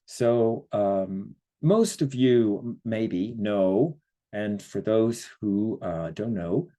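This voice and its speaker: A middle-aged man from Chile giving an online history lecture, speaking haltingly with many fillers and checking in with listeners. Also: on the low side, mellow. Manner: slow, hesitant, many fillers, checks in with the audience.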